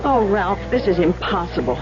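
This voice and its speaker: A woman's voice: medium pitch, sing-song, normal speed, lightly acted. An actress playing an anxious, protective woman in a fretful, exclamatory manner, in 1970s radio drama style. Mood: worried. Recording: muffled vintage radio recording, loud music behind.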